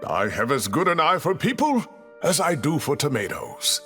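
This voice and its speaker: Proud genial older scholar mentor, super swoopy theatrical delivery, anime game dub, faint music. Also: male voice, a little high, huge pitch swings, normal speed, fairly bright tone, exaggerated voice. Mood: self-satisfied. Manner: theatrical, avuncular, self-satisfied.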